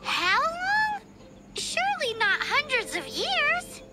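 A woman playing a perky, excitable young girl in an anime-style video game. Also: extremely high pitch, takes it slowly, heavy background noise, heavily acted. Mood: thoughtful. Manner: perky, exclamatory. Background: game music.

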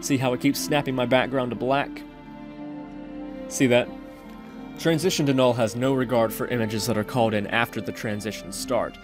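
Man, mid-range voice, casual tutorial host, informal and chatty step-by-step narration, clear music backing. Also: mid-range voice, steady tone, normal speed. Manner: informal, chatty, talks through each step. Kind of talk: casual screen-recorded coding tutorial narration.

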